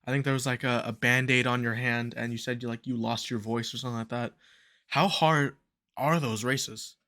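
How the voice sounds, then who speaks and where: A man hosting a casual interview, asking questions in a halting way with fillers. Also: mid-range voice, fairly even intonation, pushed and bright. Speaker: podcast host.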